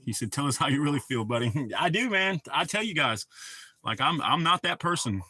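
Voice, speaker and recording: A man's voice: on the high side, expressive, brisk, bright, forceful tone. A middle-aged man hosting a livestream, telling a heated, rambling story full of cursing. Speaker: livestream host. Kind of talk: casual ranting chat.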